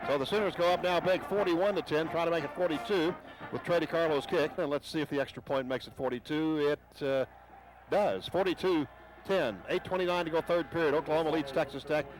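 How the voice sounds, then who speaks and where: A man giving radio play-by-play of a football game, rapid and building to excited shouts on big plays. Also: fairly high pitch, poor audio.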